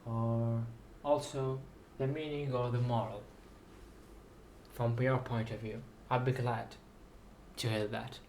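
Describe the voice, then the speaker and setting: A man reciting his own poetic story in a solemn, deliberate manner, with broken phrases and long pauses. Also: mid-range voice, flat delivery, a little mellow, a little hiss or hum. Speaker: writer reading own story.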